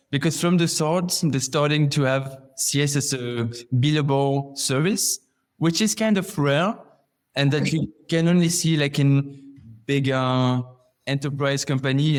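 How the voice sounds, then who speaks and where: Man, from Belgium, podcast guest, medium pitch, conversational interview answers. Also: measured pace. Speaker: podcast guest, customer success manager.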